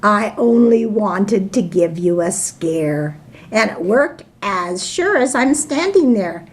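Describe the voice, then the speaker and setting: A woman's voice: mid-range voice, very animated, slow. A woman voicing a small, cheeky male mouse in a teasing way.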